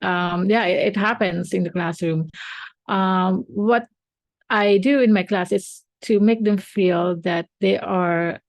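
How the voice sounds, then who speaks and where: A woman's voice: mid-range voice, slow, dry recording. A woman teacher and podcast co-host who is not a native English speaker, chatting casually with many fillers and restarts.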